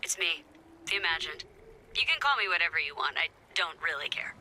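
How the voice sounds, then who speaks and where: Bright, sardonic resistance fighter. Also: female voice, low voice, animated, fairly quick, slight background noise, exaggerated voice. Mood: breezy, bossy. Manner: sarcastic, chatty, playful asides. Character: flippant, impatient female resistance member scheming behind her leader's back. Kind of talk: animated video game voice acting.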